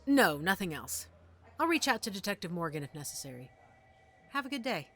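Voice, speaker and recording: A woman's voice: fairly low pitch, very animated, a little fast, fairly bright tone. A woman acting a composed, inquisitive female werewolf pack leader in an audio drama. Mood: polite.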